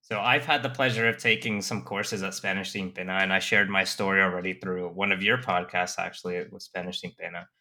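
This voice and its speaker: A man co-hosting a podcast asks his guest questions in a friendly, curious way. His voice is very deep but bright and forceful in tone. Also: fairly even intonation, a little fast, few pauses. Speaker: podcast co-host. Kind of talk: interview questions.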